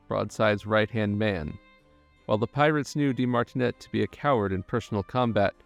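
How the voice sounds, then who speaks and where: Low, creaky male documentary narrator. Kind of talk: scripted narration.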